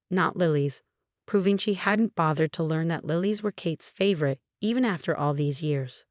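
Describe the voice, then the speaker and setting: A woman reading a scripted first-person story as narrator, in a measured, earnest way. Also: lowish voice, dry recording, studio quality.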